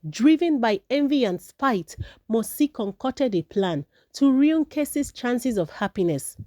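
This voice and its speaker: A woman's voice: a little high, lively intonation, measured pace, dark tone, no echo, clean studio sound. A woman narrating a folktale from a script as a storyteller.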